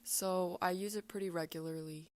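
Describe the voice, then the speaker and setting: A young woman answering interview questions in a calm, measured way. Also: fairly low pitch, dry recording. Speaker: student, interviewee.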